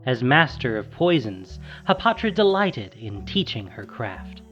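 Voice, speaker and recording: Male narrator, scripted fantasy drama, measured, dramatic pauses, medium pitch, faint music behind. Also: sing-song, fairly bright tone, highs cut off.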